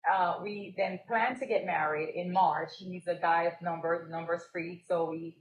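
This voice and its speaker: A woman's voice: lowish voice, fairly even intonation, moderate pace, echoey room, phone-quality sound. A young woman from Jamaica, a business co-owner and guest on a live podcast, telling her story and laughing often. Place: stage at a live event.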